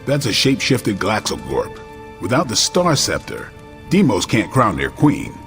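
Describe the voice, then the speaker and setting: A man's voice: fairly low pitch, huge pitch swings, a little slow, music in the background, heavily acted. A man acting as a wry, thoughtful hero who leads a group in a video game cutscene, speaking conversationally. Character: wry, thoughtful male hero leading a book club.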